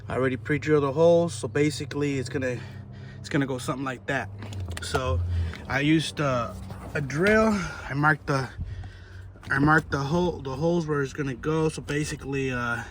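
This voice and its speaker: Man talking through a job over background noise. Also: mid-range voice. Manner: informal, halting, thinking aloud while working. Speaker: host of a DIY car installation video. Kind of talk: casual hands-on tutorial talk to viewers. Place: in or beside a car. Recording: clear background noise, vehicle ambience.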